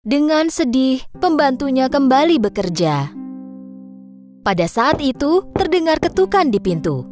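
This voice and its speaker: Lively woman narrating a children's story. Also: high voice, a little slow, soft background music.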